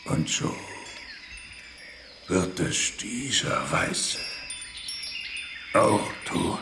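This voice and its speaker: An actor playing a weary old chieftain in a German radio drama, grave and halting, with a gravelly, breathy voice. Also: male voice, low-pitched, animated, heavily acted. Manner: slow, halting, deliberate. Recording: echoey, with clear background noise.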